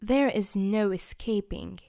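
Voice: mid-range voice, takes it slowly, gravelly, warm tone. A woman voicing a wise, patient old professor tooth in a storybook reading. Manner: patient, soothing explanation.

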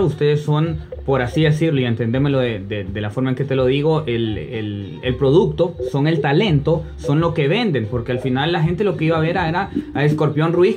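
A man's voice: on the high side, darkish tone. A man with a Honduran accent conducting a conversational sports interview, wordy and repeating phrases as he builds questions.

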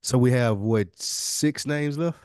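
A man's voice: medium pitch, takes it slowly, darkish tone, no echo, clean studio sound. A man bantering casually as a podcast co-host in an informal, slangy, joking way.

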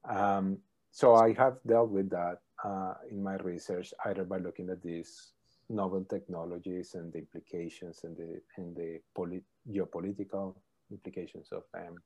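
A middle-aged man, a professor answering interview questions thoughtfully, pausing often with ums. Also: low voice, little pitch movement, darkish tone, low-quality recording. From Colombia. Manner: thoughtful, hesitant with many ums. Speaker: professor, podcast guest.

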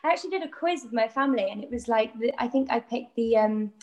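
A woman's voice: fairly high pitch, animated, fast, a little mellow, lo-fi sound. A young woman from North Wales with a North Welsh accent, a musical theatre performer chatting as an interview guest. Welsh is her first language, and she talks in a chatty way, full of fillers and giggles. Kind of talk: casual interview chat.

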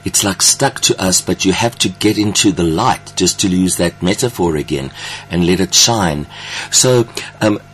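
A man's voice: deep voice, moderate pace, a bit bright, a little hiss or hum. A man hosting a conversational interview in an effusive, affirming manner. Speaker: interview host.

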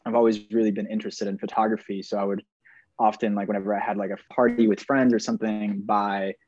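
A man's voice: fairly low pitch, flat delivery, fast, a little mellow. A young man from Venezuela, a filmmaker, answering interview questions in an easygoing, self-mocking way. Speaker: guest filmmaker. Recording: dry, dull lo-fi sound.

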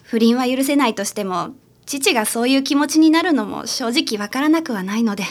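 A woman plays a troubled daughter confiding in a detective in a radio drama. Her voice is high and sincere. She speaks politely, like a client explaining a family worry. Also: brisk, lightly acted. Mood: earnest confiding.

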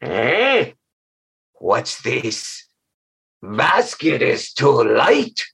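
A man voicing a greedy giant ogress who eats children, speaking slowly and gruffly with a low, menacing voice. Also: big pitch swings, a bit bright, full character voice.

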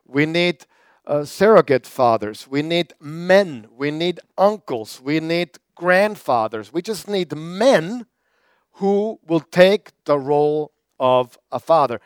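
Male pastor, crisp dry voice. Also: fairly high pitch, lively intonation, slow, no room sound. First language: German. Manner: conversational preaching with repetitions and fillers. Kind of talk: sermon.

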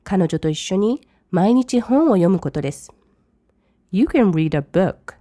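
Woman, mellow bilingual teacher. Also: medium pitch, expressive, dry recording, clean studio sound. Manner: reads English slowly, then translates briskly. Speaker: language teacher. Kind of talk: bilingual lesson commentary.

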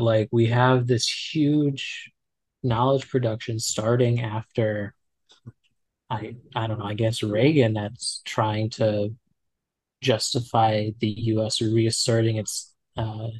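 Low flat-voiced young man, halting. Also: poor audio. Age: 20s. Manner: hesitant, full of fillers and restarts. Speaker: podcast guest. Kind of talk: casual conversation.

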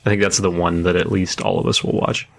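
A man's voice: deep voice, little pitch movement, quick pace, creaky, a bit bright, slight background noise. A man chatting casually as a co-host on a podcast. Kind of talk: casual chat.

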